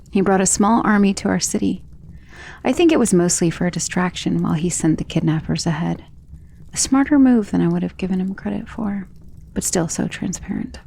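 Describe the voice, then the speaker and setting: Woman acting a husky, intimate vampire lady. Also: on the low side, warm tone. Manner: intimate, soothing, often dropping to a breathy whisper. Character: ancient powerful female vampire, protective, self-assured, tenderly possessive lover. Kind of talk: ASMR romance roleplay.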